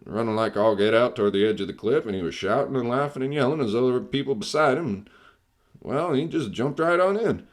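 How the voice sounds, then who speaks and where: Male actor as skeptical property agent, plain folksy talker, mid-pitched, businesslike, radio play storytelling. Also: lively intonation, a little fast, lightly acted. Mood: matter-of-fact. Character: matter-of-fact male real estate agent, dismissive of ghosts.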